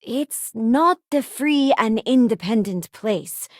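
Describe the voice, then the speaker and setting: A woman's voice: on the high side, animated, slow pace, no echo, studio quality. An actress playing a principled, idealistic female crew companion in a space video game, speaking sincerely and conversationally. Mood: earnest, disillusioned. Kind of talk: acted game companion dialogue lines.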